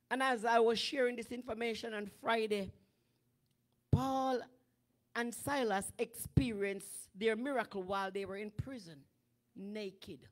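A middle-aged woman preacher delivering a sermon from a church stage into a handheld microphone, rising from chatty storytelling to shouting. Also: fairly high pitch. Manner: animated preaching that builds to shouting. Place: church sanctuary with draped stage.

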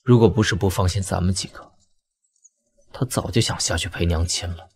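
An actor playing a weary, tender young lord and elder brother in an audio drama, speaking in a measured, reflective way. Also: male voice, deep voice, darkish tone, slight background noise. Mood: sorrowful, reflective. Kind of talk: audio drama dialogue in Mandarin wuxia serial.

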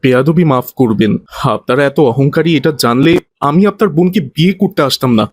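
A man's voice: mid-range voice, animated, quick pace, no echo, close to an everyday voice. A man voicing a humble, pious young suitor in a cartoon story, speaking earnestly and courteously. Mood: earnest, wounded.

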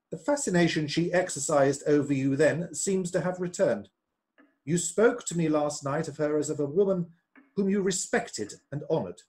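An actor playing a proud, earnest upper-class politician and husband in a period radio drama, speaking formally and gravely. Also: male voice, fairly high pitch, darkish tone, lightly acted.

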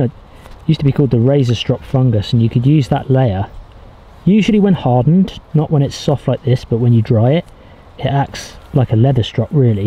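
A man in his thirties, outdoors in a pine forest, explaining how he pitches a shelter and gathers fire materials as he works, often restarting his sentences. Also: mellow, husky, muffled recording. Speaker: bushcraft vlogger. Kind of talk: casual outdoor how-to narration.

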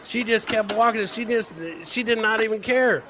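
A man's voice: sits high, flat delivery, moderate pace, background noise. A middle-aged man doing casual, jokey live commentary on video clips as a comedian host, often repeating his catchphrases. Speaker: comedian hosting a livestream clip show.

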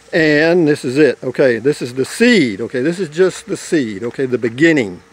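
Guy filming himself on his phone in his truck camper, folksy and rambly, keeps saying okay. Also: mid-range voice. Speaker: vlogger showing his camper build. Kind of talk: casual walkthrough. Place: in and around a pickup camper shell outdoors.

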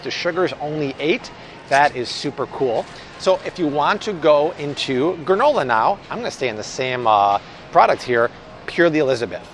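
Male vlog host, thirties, American, enthusiastic casual reviewer, recorded in a supermarket with clear background noise. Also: mid-range voice. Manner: upbeat, enthusiastic, chatty. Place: large supermarket aisle, indoors. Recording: clear store ambience and hum.

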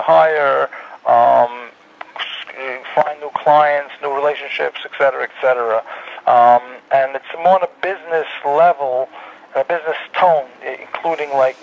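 A man who organizes an expo, answering interview questions in a halting, casual way. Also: fairly high pitch, creaky. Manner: halting, many fillers and restarts. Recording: dull lo-fi interview recording.

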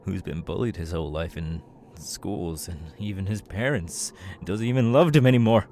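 A man's voice: deep voice, normal speed, a little mellow, a little hiss or hum, pitched above the performer's own voice. A man playing an unhinged young killer with tics and a stutter, stammering, muttering and yelping out sudden words in an ASMR audio roleplay. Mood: troubled, frustrated. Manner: stammering, sudden yelped outbursts, muttered asides.

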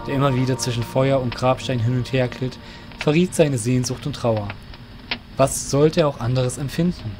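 A man narrating a scripted fantasy audio drama. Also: mid-range voice.

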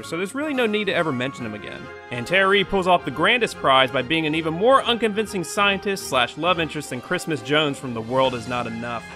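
A sardonic man in his thirties delivers scripted critical commentary in a lively, swooping voice. He is emphatic and opinionated, pitched a little high, and moves along at a brisk clip. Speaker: video game movie critic, show host. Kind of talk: scripted video essay commentary. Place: small furnished bedroom, indoors.

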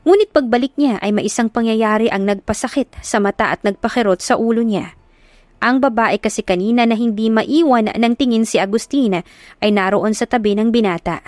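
A woman's voice: fairly high pitch, a little fast, darkish tone, dry recording. Woman narrating a love story, dry close mic.

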